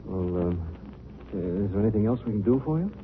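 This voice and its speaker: A man's voice: fairly low pitch, expressive, normal speed, lightly acted. An actor playing a wealthy young man about town, easygoing and teasing, in 1940s radio drama style. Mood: concerned. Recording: noisy, narrow-band 1940s radio recording.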